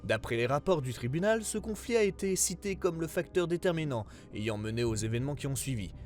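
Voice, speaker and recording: A man reading a scripted investigative report as narrator, in a formal, measured way. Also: on the high side, expressive, a little mellow.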